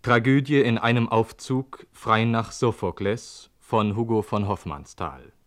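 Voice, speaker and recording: A man's voice: on the low side, a little slow, a bit bright. A man announcing a radio drama in a formal, scripted style.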